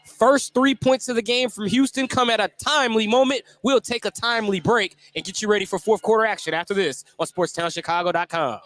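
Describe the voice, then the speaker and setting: Male sports announcer, high voice, live basketball radio call, excitable on big plays, faint music in the gym behind. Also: brisk, few pauses. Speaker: play-by-play announcer.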